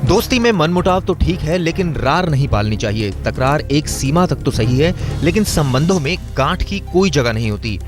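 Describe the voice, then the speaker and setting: A man's voice: a little high, expressive. Male TV reporter over news music. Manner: measured, rhetorical delivery. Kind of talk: dramatic TV news narration. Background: music bed.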